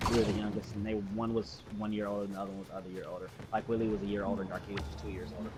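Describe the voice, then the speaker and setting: A man's voice: fairly low pitch, racing, music in the background. An American man casually telling a personal story, acting out dialogue in slangy, sweary speech. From Muskegon, Michigan, USA. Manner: animated retelling with acted-out dialogue and slangy swearing.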